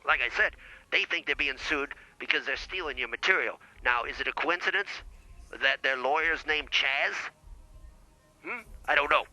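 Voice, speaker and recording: Man playing a bright, blustering small-time lawyer. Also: fairly high pitch, normal speed, no room sound, rough recording. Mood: blustery advising. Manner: folksy, rambling, stumbles over legal words. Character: blustering male small-time lawyer advising podcast hosts. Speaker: lawyer character, show guest. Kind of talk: improvised comedy podcast banter.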